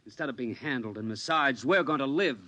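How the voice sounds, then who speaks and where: A man's voice: mid-range voice, brisk, pushed and bright, natural voice. An actor playing a stern, exasperated father in a 1950s radio drama. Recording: restored 1950s radio drama, music behind.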